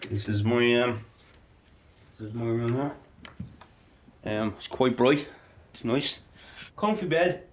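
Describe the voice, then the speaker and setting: A man's voice: mid-range voice, slow pace, pauses often. A man from inner-city Dublin with a thick Irish accent, giving an informal personal account as a client of a charity. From Dublin, Ireland. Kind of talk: informal personal testimony to camera.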